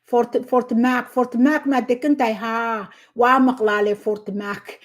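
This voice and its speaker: A middle-aged woman from southern Somalia with a Somali accent, hosting a casual livestream, unhurried and halting with many fillers as she thanks viewers by name. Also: on the high side, steady tone. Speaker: livestream host.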